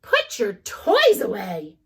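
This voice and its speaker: Lady reading out a strict mom yelling at her kid, firm and loud, high voice that jumps around. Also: wildly swooping pitch, slow, vocal fry, heavily acted. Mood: scolding. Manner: firm, loud scolding commands. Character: stern mother scolding her little boy.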